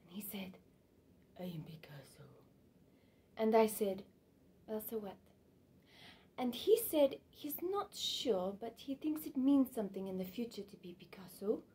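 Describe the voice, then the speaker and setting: A woman's voice: mid-range voice, animated, measured pace, pauses often, mellow, close to an everyday voice. Woman acting a frank, sensual young woman. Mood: hushed, captivated. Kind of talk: theatrical stage monologue.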